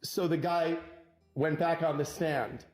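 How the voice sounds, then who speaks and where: Animated male storyteller over music. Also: a little high. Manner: punchy, acts out quoted dialogue with dramatic pauses. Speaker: divorce lawyer telling an anecdote.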